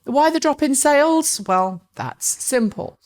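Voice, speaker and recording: A woman's voice: high voice, lively intonation, slow pace, darkish tone. Woman presenting scripted car news.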